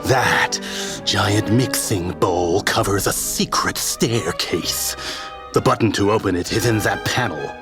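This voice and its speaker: Man playing a slow, low, fussy villain general. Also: over music. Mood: impatient scheming. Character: villainous General Pan, fussy scheming commander. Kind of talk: audio drama table read.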